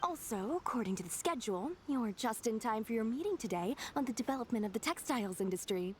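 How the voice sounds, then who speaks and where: A woman's voice: a little high, lively intonation, fast, a little hiss or hum, close to an everyday voice. A woman voicing a dutiful young shrine assistant in a video game, deferential, earnest and admiring. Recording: game music clearly behind the voice.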